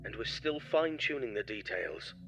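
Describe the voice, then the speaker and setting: An actor playing a proud, curt businessman father with a formal, measured, dismissive manner in a phone-call audio drama. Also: fairly low pitch, very animated. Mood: polite, assured. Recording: dull lo-fi audio, loud noise.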